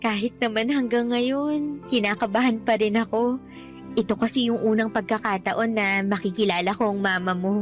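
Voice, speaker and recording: Woman playing a high-voiced sweet young girlfriend. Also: steady tone, normal speed, soft background music, close to an everyday voice. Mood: nervous. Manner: chatty, polite. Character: sweet, nervous young girlfriend meeting boyfriend's mother. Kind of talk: Filipino radio drama acting.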